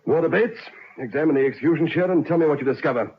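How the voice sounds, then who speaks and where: An actor playing a stern, formal prison governor with a commanding manner in a vintage radio drama. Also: male voice, on the high side, racing, lightly acted. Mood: brisk, commanding. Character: stern male prison governor, official and authoritative. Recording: dull, echoing, hissy old radio recording.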